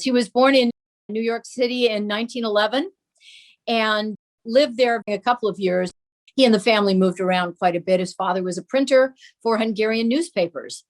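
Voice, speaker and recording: Older American woman, medium-pitched voice. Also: a little mellow. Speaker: guest. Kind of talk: conversational reminiscence.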